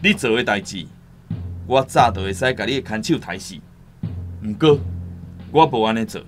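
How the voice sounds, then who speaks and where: A man's voice: on the low side, expressive, normal speed, soft background music, lightly acted. Actor as defiant young Taiwanese rebel man. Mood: defiant, threatening. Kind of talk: Taiwanese radio drama acting.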